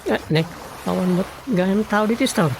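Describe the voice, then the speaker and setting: A woman's voice: medium pitch, moderate pace, close to an everyday voice. A woman playing a grumbling, easily exasperated villager in a comedic radio drama, with an Ilocano Filipino accent and exclaiming delivery. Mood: puzzled, grumbling. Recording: clear background noise.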